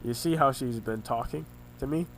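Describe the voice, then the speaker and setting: A man recounting family arguments in a rambling monologue, halting and often restarting his words, his voice congested and his throat sore. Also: medium pitch, a little hiss or hum, rough recording. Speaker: vlogger recounting family conflicts. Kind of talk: rambling personal monologue over a screen recording.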